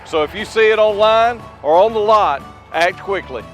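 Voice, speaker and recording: A man's voice: high voice, expressive, moderate pace, fairly bright tone. A man working as a commercial announcer, reading an upbeat scripted car dealership advertisement. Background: background music.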